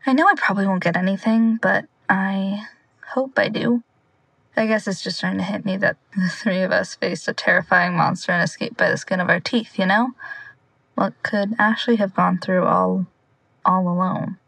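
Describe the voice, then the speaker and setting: A woman's voice: medium pitch, steady tone, moderate pace, vocal fry, fairly bright tone, husky, no echo, poor audio. A young woman playing an anxious, sardonic amateur sleuth in a naturalistic audio drama, talking in a casual, rambling way. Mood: brooding.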